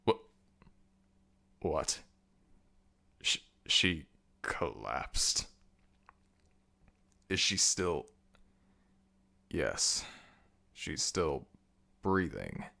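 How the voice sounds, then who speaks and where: A very deep, gravelly jackal-headed god. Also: male voice, big pitch swings, pushed and bright, exaggerated voice. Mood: concerned, musing. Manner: slow, deliberate, formal, commanding speech. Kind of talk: ASMR audio roleplay, dramatic slow acting.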